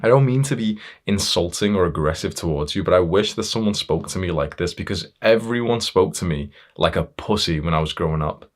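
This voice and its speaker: Casting: young male self-improvement coach, very low voice, blunt tough-love monologue with casual swearing. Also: lively intonation, fairly quick, few pauses. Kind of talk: motivational monologue to viewers.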